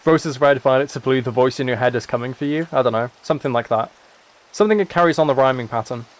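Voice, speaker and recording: A young British man chatting casually as he streams a game, rambling and thinking out loud as he goes. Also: medium pitch, fast. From United Kingdom. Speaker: live streamer.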